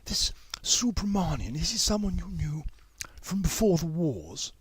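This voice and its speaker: A kindly, serious schoolmistress as a male narrator puts her on. The pitch is lifted above a man's usual talk and the manner is soft and measured. The sound is dry. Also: very animated, slightly rough, no room sound, full character voice. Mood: gentle. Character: gentle, serious woman teacher.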